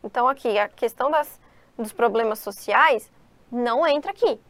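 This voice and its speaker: Brazilian woman teacher, high voice, dry close sound, explains exam answers to students. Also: moderate pace, a bit bright, dry recording. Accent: Brazilian Portuguese. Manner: explanatory, addresses students, asks and answers own questions. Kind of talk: exam-prep lesson, reading and explaining questions.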